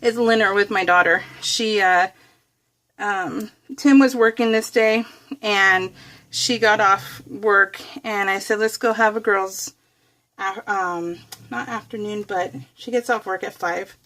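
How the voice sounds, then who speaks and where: A woman's voice: medium pitch, slow, pushed and bright. A middle-aged woman hosting a craft vlog, chatting casually and rambling as she works, often correcting herself and giggling. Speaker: craft video host.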